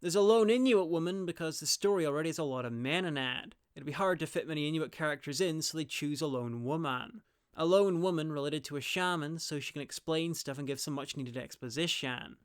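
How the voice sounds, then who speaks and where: Wry man with clear, slightly high voice. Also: brisk, no room sound. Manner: wry, dry asides. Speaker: reviewer, video host. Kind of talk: scripted review narration.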